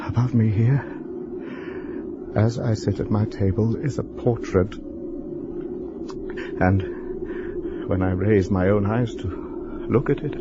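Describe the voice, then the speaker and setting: A low-pitched, educated man gripped by dread in an old haunted house. His delivery is formal and halting, full of weighted pauses, in the manner of a radio horror play. The sound is dull, with plenty of noise behind him. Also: steady tone. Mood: mounting dread. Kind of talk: dramatic first-person horror monologue, radio drama. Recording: dull, lo-fi, noisy radio drama recording.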